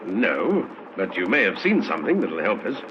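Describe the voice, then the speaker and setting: An actor playing a brisk, courteous male police inspector questioning witnesses in a radio crime drama. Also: lowish voice, wildly swooping pitch, a little hiss or hum. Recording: dull vintage radio recording.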